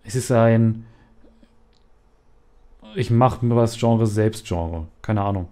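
A man's voice: on the low side, takes it slowly, mellow. A man hosting a casual gaming podcast, quizzing his co-hosts and often searching for words. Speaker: podcast host.

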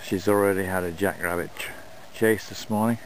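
Man, low register, laid-back vlog narration outdoors, faint background ambience. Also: a little hiss or hum, rough recording. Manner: relaxed, unhurried talk with fillers. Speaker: dog owner filming a scooter run. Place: open desert outdoors.

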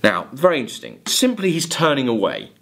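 A man giving a literature lecture, emphatic, halting and deliberate as he corrects himself. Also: mid-range voice, wildly swooping pitch, vocal fry, fairly bright tone. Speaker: college English literature lecturer. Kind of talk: lecture with quoted lines of verse. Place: indoors, recorded video lecture at a desk.

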